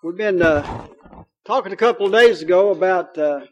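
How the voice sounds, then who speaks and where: Kentucky preacher guy, pretty high voice, jokey and folksy, lots of uhs. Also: moderate pace, a little hiss or hum, low-quality recording. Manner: folksy, joking, with many fillers. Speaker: pastor. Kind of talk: sermon.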